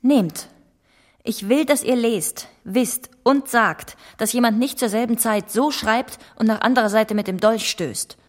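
Earnest young gentlewoman in a reverberant hall. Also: a little high. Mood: earnest, pleading. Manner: formal, measured period speech. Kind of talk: German radio play acting.

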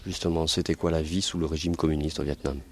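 Very deep-voiced documentary man. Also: steady tone, fast talker, husky. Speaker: interviewer and narrator. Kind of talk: documentary narration and interview questions.

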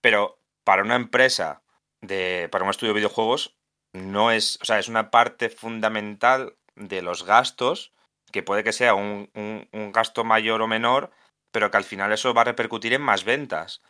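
A man with an Asturian Spanish accent talks through video game translation on a podcast, often restarting and correcting himself as he thinks. His voice sits on the low side but has a bright, forceful edge. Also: normal speed. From Asturias, Spain. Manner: thoughtful, many restarts and self-corrections. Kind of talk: casual podcast conversation.

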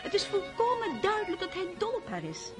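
An actress in a radio play as a gushing, mannered aristocratic hostess who gossips and makes matches. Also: female voice, very high-pitched, wildly swooping pitch, fairly quick, music in the background, heavily acted. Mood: excited gossip. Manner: effusive, mannered, sprinkles French endearments.

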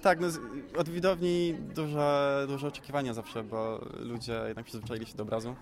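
A man giving casual, reflective interview answers about his creative work, explaining in a halting way. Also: mid-range voice, flat delivery. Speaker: audio drama creator being interviewed. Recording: busy crowd behind the voice. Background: crowd chatter.

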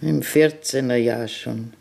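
A man's voice: mid-range voice, fairly even intonation, slow pace, creaky, darkish tone, pitched above the performer's own voice. A man voicing a grim ancient innkeeper woman. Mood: curt. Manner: blunt, curt, grim dialect-tinged speech. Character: ancient bitter old village innkeeper woman. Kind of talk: German radio play acting.

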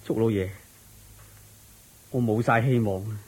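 A man's voice: mid-range voice, measured pace, darkish tone, breathy edge. A man with a Hong Kong Cantonese accent playing a poor, humble musician in a radio drama, meek and pleading. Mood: despairing.

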